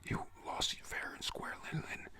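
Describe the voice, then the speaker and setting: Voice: a little slow, natural voice. A young adult whispering the lines of a blunt, brutal male pirate captain while recapping a cartoon. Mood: contemptuous. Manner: blunt, dismissive.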